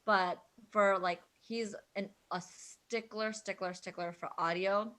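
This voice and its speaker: A woman chatting casually and telling stories in an animated, emphatic way, peppering her talk with "like". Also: mid-range voice, slow pace. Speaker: wedding videographer, guest vendor. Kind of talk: casual chat, animated storytelling.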